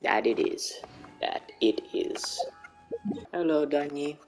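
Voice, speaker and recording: A woman's voice: low voice, takes it slowly, poor audio. A woman streaming a game, commenting casually and reading lines aloud in a teasing way. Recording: game music faintly behind.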